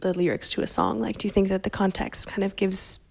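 A woman's voice: medium pitch, expressive, a little fast, creaky. A woman interviewing an artist, asking long curious questions and laughing easily. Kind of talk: interview conversation.

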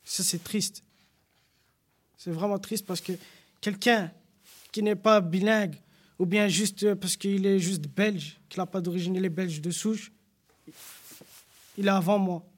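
A young man speaks in a notably high voice. He tells his own story with earnest indignation, repeating his points and pausing on fillers. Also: fairly even intonation. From Belgium. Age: young adult. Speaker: panel guest. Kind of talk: personal testimony in a panel discussion.

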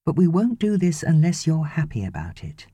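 A woman's voice: low voice, very animated, moderate pace, dark tone, husky, dry recording, voice pushed up, exaggerated voice. A woman voicing an earnest, loving husband and father in an audiobook, pleading and persuading emphatically. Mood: earnest, tender.

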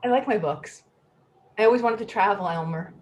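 A woman in a play reading, playing a naive, eccentric woman with halting, repetitive phrasing. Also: mid-range voice, close to an everyday voice. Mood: wistful, determined. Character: naive, eccentric woman upset about a talking icebox. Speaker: actor in a staged play reading. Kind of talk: readers theatre acting.

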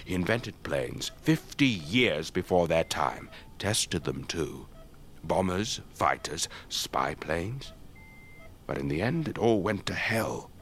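Elderly English ex-air force raconteur, low lively voice, courteous radio drama storyteller, noisy ambience behind. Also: male voice, slow, heavily acted. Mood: reflective storytelling. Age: older. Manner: old-fashioned clipped officer politeness, rambling anecdotes.

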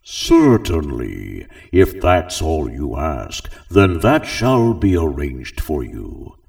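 Man doing a deep slug crime lord, cruel, arrogant, very low, slow, gravelly. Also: wildly swooping pitch, a little mellow, recorded from a distance, heavily acted. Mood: gracious.

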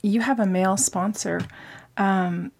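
A woman's voice: mid-range voice, fairly even intonation, unhurried, vocal fry, a little mellow. A woman co-hosting a podcast, chatty and laughing easily in a casual interview. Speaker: podcast co-host.

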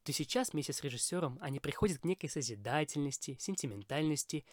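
A man's voice: a little high, expressive, quick pace, dry recording, clean studio sound. A man hosting a podcast, reading a scripted, opinionated film review in formal phrasing.

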